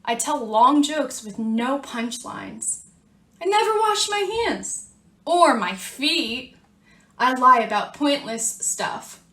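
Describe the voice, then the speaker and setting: A brazen little seed from a children's storybook, acted out by a woman, confessing its badness with drama. The delivery is slow and drawn out, fairly high in pitch, in an echoey room. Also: sing-song, bright, forceful tone, heavily acted. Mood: brazen, boastful. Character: self-declared bad sunflower seed, defiant and dramatic, storybook.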